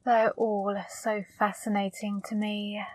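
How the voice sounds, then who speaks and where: A woman playing a flirty, teasing female demon in an intimate ASMR roleplay, speaking softly and breathily, coaxing and gently scolding up close. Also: mid-range voice, flat delivery, takes it slowly, no echo. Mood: playfully accusing. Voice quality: soft, breathy, partly whispered. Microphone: close microphone, dry.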